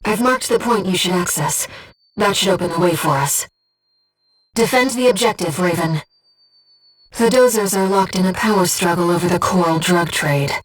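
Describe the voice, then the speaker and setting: A woman voicing a gentle, earnest disembodied being in a video game, guiding a pilot with composed, precise, softly formal delivery. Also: fairly low pitch, normal speed, far from the mic, soft background music, natural voice, rough recording. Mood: composed, focused. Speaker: mission support operator. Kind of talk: scripted video game voice acting.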